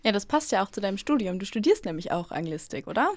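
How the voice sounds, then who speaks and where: A woman interviewing an author, asking friendly, brisk questions. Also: medium pitch, big pitch swings, no room sound.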